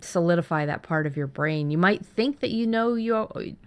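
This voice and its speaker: Low, smooth-voiced woman chatting casually. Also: expressive, moderate pace, a little mellow. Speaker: podcast co-host. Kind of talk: casual chat.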